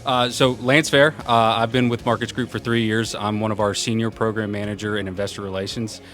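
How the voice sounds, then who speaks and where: A young man with a loud voice, answering interview questions casually at a conference and laughing often. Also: lowish voice, level tone, brisk, faint music behind. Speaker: event program manager, interview guest. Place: conference venue.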